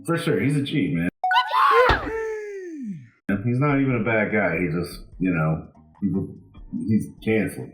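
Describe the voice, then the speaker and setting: A man's voice: lowish voice, measured pace, long pauses, reverberant, raised voice, faint music behind, rough recording. A young man from Florida co-hosting a comedy podcast, blunt and profane, laughing often. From Florida, USA. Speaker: podcast co-host. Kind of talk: casual comedy podcast banter.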